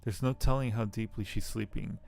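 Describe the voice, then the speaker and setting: A man streaming a game, reading the narration aloud and commenting casually in a low-key way as he thinks aloud. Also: fairly even intonation, brisk, vocal fry, a little mellow. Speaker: live streamer playing a game.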